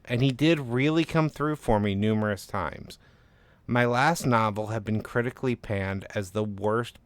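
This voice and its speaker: A man's voice: lowish voice, clear, resonant tone, dry recording. A man hosting a fiction podcast and reading a scripted first-person short story as narrator.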